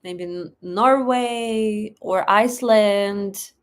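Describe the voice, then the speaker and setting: A woman's voice: mid-range voice, very slow. A young woman with a Russian accent hosting a live stream, chatting casually, reading chat questions aloud and thanking viewers for donations. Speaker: livestream host.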